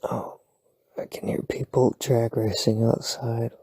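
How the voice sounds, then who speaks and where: A man whispering softly at night in a rambling, playful, self-deprecating sleep-aid roleplay. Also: medium pitch, level tone, unhurried, no echo. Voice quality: breathy whisper. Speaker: ASMR boyfriend roleplay creator. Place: home at night.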